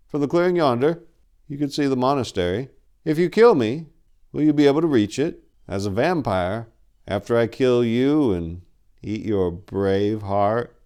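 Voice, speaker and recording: A man's voice: medium pitch, mellow, clean tone, pitched above the performer's own voice, close to an everyday voice. Man playing a smug, sinister male vampire. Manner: smug, mocking, drawn-out menace. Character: sinister, boastful, taunting male vampire villain.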